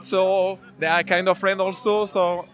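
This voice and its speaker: Guy, high voice, halting english, searching for words, music in the background. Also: flat delivery. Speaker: race athlete. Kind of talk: casual interview answers in non-native English. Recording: music playing behind.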